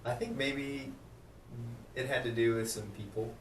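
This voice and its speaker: A man's voice: mid-range voice, fairly even intonation, unhurried, reverberant, distant mic, a little hiss or hum, rough recording. Laid-back man in his thirties. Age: 30s. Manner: easygoing, laughs often, agreeable. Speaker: podcast guest, sales manager. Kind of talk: casual chat.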